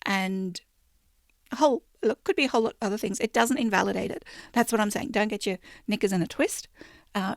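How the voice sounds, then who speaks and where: A woman spiritual teacher giving an informal talk, chatty with fillers and restarts, turning soft and hushed when she reads prayer lines. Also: mid-range voice, dry recording, clean studio sound.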